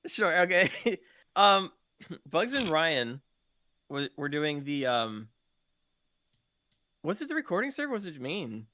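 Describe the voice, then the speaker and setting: A man's voice: a little high, sing-song, measured pace, long pauses, pushed and bright, slight background noise. A man telling roleplay gaming stories in a casual podcast chat. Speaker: podcast participant, game roleplay streamer.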